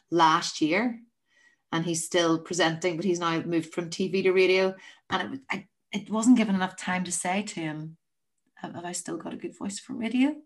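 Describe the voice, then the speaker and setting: Deep-voiced woman rambling through stories. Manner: rambling, chatty, self-interrupting storyteller. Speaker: podcast host. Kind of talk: casual chat.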